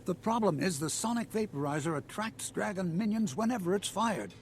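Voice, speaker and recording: A high-voiced, gravelly mole creature from a video game, speaks with earnest formality and frightened gratitude. The delivery swings widely in pitch, over faint noise. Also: male voice, expressive, fairly quick. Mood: grave, confiding. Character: frightened, grateful Dredge comrade, a mole-like creature.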